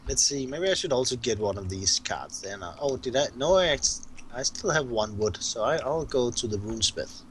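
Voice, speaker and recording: A man from Denmark with a Danish accent, a game designer chatting casually and haltingly while playing a board game. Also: mid-range voice, animated, slight background noise.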